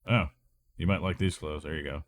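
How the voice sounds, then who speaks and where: A man's voice: extremely low pitch, fairly even intonation, brisk, slight background noise. A man with a stuffy, nasal voice from a cold, rambling and thinking aloud as he plays a video game. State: recovering from illness, congested. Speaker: let's play gamer. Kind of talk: casual gameplay commentary.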